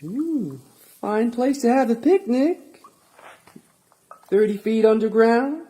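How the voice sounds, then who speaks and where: A woman's voice: on the high side, big pitch swings, slow, dark tone. A woman playing a possessive, taunting clown in an audio drama, teasing and drawing out the words. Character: possessive taunting clown, speaking of itself in third person.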